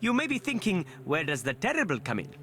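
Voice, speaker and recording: An actor playing a wry, erudite academic, lecturing and musing in video game dialogue. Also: male voice, high-pitched, huge pitch swings, quick pace, bright, forceful tone, a little hiss or hum, full character voice. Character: wry, erudite male academic expounding a pet theory.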